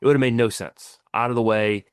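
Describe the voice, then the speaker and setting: A man's voice: mid-range voice, steady tone, brisk, clean tone, no room sound, clean studio sound. A middle-aged man co-hosting a podcast as a financial planner, explaining ideas in casual chat with many restarts and "right?" tags. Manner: stumbling restarts, frequent tag questions.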